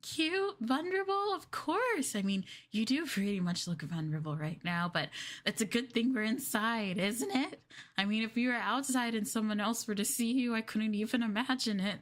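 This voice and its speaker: A woman voicing a sweetly possessive, obsessive young girlfriend in an ASMR roleplay, coaxing and cooing between soft speech and whispers. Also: on the high side, expressive, normal speed, natural voice. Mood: giddy, adoring. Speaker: ENVtuber streamer performing ASMR roleplay.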